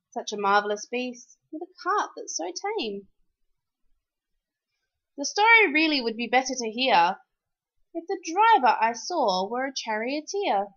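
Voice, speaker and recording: A woman reading a rhyming children's storybook aloud in an expressive, animated, sing-song way. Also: high voice, muffled recording.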